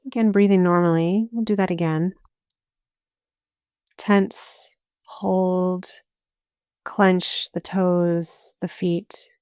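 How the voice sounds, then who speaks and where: A woman guiding a meditation as a yoga nidra teacher, speaking calmly and soothingly in short phrases with long pauses. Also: on the low side, clear, resonant tone, no room sound, clean studio sound. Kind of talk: guided meditation, slow spoken instruction.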